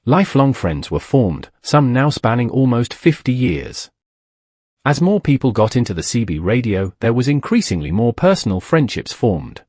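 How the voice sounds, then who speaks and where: A man's voice: mid-range voice, big pitch swings, moderate pace, a little mellow, no room sound, studio quality. A man narrating a documentary from a script.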